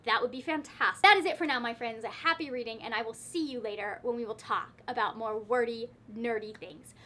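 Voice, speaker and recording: A woman's voice: on the high side, a little fast, sharp tone. A woman hosting a book vlog in casual, chatty talk to viewers, often cutting herself off with wry asides. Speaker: book vlogger, host of her own channel.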